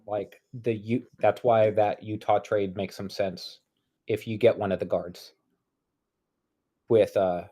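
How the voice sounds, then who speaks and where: A man's voice: lowish voice, level tone, slow pace, pauses often, warm tone. A man co-commentating casually on a basketball game, blunt and slangy, with opinions and some swearing. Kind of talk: casual chat and live game reactions.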